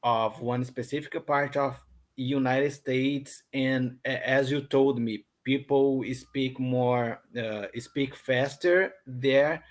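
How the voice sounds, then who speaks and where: Brazilian man, English learner on a video call, hesitant, unhurried delivery, mid pitch. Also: steady tone. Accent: Brazilian Portuguese. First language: Portuguese. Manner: hesitant, many ums and restarts. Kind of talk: casual online lesson chat.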